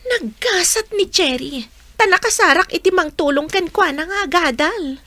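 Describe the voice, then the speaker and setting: A woman's voice: very high voice, lively intonation, normal speed, bright, forceful tone, no room sound, exaggerated voice. A woman playing an eager, determined young student daughter in an audio drama, in a girlish manner. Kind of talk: Filipino audio drama acting.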